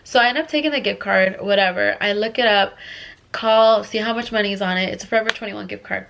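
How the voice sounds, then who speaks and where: A woman's voice: mid-range voice, fast talker, creaky, fairly bright tone, slight background noise. A young woman telling a casual storytime, congested from a cold, rambling with lots of fillers. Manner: rambling, filler-heavy, restless. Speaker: vlogger.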